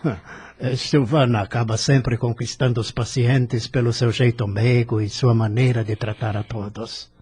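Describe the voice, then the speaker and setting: Man playing very husky, kindly doctor. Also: mid-range voice, fairly even intonation, moderate pace, a little mellow. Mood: calm reassurance. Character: kindly, composed male hospital doctor. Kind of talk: audio drama acting.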